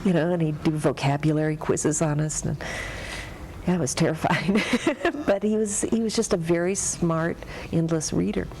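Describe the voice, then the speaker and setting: A woman author reminiscing casually, halting and self-deprecating with frequent laughs. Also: low-pitched, steady tone, a little mellow, slight background noise, poor audio. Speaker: author guest.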